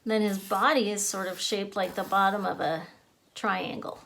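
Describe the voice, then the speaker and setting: A woman's voice: medium pitch, steady tone, moderate pace, creaky, fairly bright tone. A woman giving a step-by-step drawing lesson as an author and illustrator, narrating simply as she draws. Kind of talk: slow step-by-step drawing demonstration.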